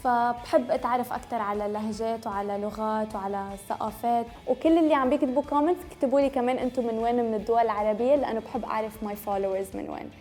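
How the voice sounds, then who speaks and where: A fast-talking young woman with a Tripoli Lebanese accent touched by Homs Syrian, chatting to camera with a slightly high voice. She grew up speaking French and mixes in English words. Soft music plays faintly behind her. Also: steady tone. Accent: Tripoli Lebanese Arabic with Syrian Homs touches. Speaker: beauty vlogger. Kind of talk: casual chat to camera.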